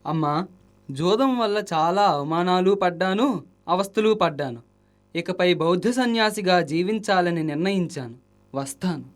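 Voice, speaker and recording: A man's voice: high voice, moderate pace, close to an everyday voice. A man playing a humbled, resigned former gambler turning monk in a radio drama. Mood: solemn resignation. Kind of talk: Indian radio drama acting in classical play.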